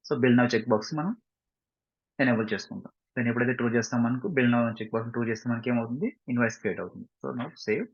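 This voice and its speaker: Husky Telugu Indian man teaching, muffled audio. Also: mid-range voice, quick pace. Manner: repeatedly checks understanding with short tag questions. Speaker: software instructor. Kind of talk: step-by-step screen tutorial, mixing in English. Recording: muffled lo-fi mic, roomy echo.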